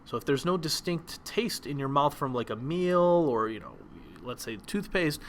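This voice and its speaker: A young man whose first language is Polish, giving a relaxed presentation lesson with frequent ums and restarts. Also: a little high, moderate pace, a little hiss or hum. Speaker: teacher.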